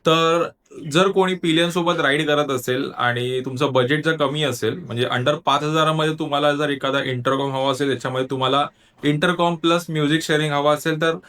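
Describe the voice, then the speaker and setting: Male gear vlogger, slightly high voice, casual tutorial delivery that walks viewers through each step. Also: steady tone, a little fast, fairly bright tone. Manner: explanatory, step-by-step, addresses viewers directly. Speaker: motovlogger reviewing gear. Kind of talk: casual product review and tutorial.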